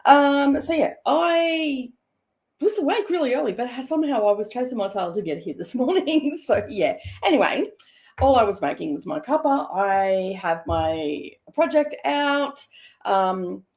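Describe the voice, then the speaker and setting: A woman hosting a stitching live stream from her home studio, hoarse and croaky today, chatting in a rambling way with many fillers. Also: fairly high pitch, lively intonation, a little slow, a little mellow. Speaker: craft live-streamer host. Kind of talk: casual chat live stream.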